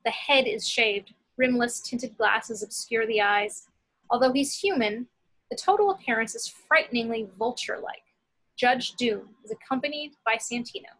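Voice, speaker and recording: Bright, high-voiced woman narrating. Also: normal speed, low-quality recording. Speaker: narrator reading action descriptions. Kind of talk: scripted screenplay narration.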